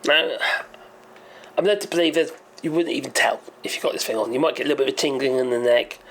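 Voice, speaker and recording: A hoarse man hosting his own channel, explaining a topic in a rambling, hesitant way and often correcting himself. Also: mid-range voice, quick pace, a bit bright, slight background noise. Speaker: channel host. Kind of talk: casual explainer monologue.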